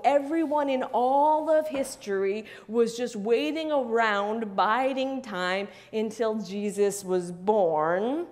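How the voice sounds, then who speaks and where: A middle-aged woman pastor preaching a sermon in a large church sanctuary, earnest and measured, with repeated phrases. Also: a little high. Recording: long church reverb.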